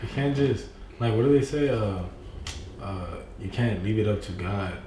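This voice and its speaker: A man's voice: normal speed, dark tone, recorded from a distance. Slangy young man, roomy and off-mic. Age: young adult. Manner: slangy, full of "you feel me", acts out quotes with big pitch jumps. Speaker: podcast guest. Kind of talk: casual chat. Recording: roomy, off-mic with faint noise.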